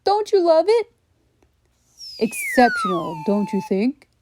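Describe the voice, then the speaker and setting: A woman's voice: very high voice, huge pitch swings, slow pace, background noise, pitched above the performer's own voice, heavily acted. Woman putting on a vain, swooping storybook horse. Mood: showing off. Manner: boastful, theatrical. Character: vain, dramatic male horse who craves admiration.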